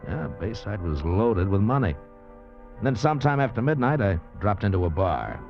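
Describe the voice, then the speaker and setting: A man's voice: lowish voice, big pitch swings, moderate pace. A man playing a slangy, cynical drifter who narrates his own story in 1940s radio noir style. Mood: suspicious narration. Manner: hard-boiled, slangy, casual. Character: handsome drifter, failed movie actor, wary cynical noir narrator. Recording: muffled vintage radio recording, loud music.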